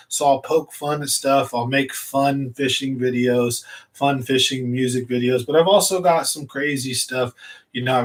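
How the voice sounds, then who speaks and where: A man's voice: mid-range voice, a bit bright. A man hosting a fishing channel, chatting casually and at length to his viewers in a rambling, easygoing way with plenty of fillers. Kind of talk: casual livestream chat to viewers.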